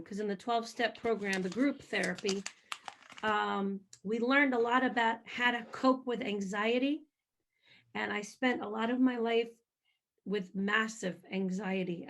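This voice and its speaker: A woman singer answering interview questions about her faith, telling her story in a lively, hyper way. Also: mid-range voice. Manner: animated storytelling, self-described hyper.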